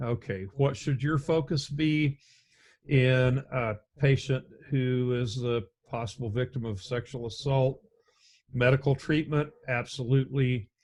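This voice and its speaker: Middle-aged man, deep voice, unhurried EMS instructor on a webcam lecture, repeats himself as he goes. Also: a little mellow. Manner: slow, measured, conversational with frequent restarts. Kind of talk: recorded slide lecture. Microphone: webcam and computer mic on a video call.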